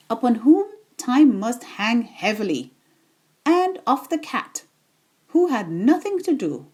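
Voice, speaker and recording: A woman reading a children's bedtime story aloud in a deliberate, expressive storytelling manner. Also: on the high side, slightly rough.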